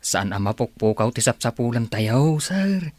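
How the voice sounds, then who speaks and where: A man's voice: mid-range voice, big pitch swings, measured pace, darkish tone, no echo. An actor playing a deferential assistant reporting to his boss in an Ilocano radio drama. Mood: respectful.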